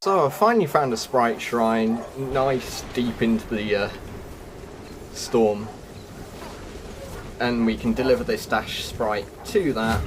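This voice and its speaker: A man giving casual game walkthrough commentary as a video host, explaining things and thinking aloud. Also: mid-range voice.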